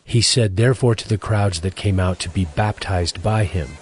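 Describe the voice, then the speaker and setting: A man's voice: low voice, normal speed, a little hiss or hum. A man reading scripted narration for a dramatized audio Bible. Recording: music clearly behind the voice.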